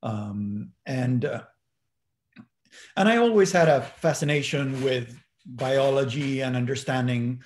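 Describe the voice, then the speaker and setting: A man's voice: mid-range voice, takes it slowly. A middle-aged man with an Argentine accent, a native Spanish speaker, looking back reflectively and searching for words as he goes. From Buenos Aires, Argentina. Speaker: interview guest. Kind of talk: reflective personal recollection.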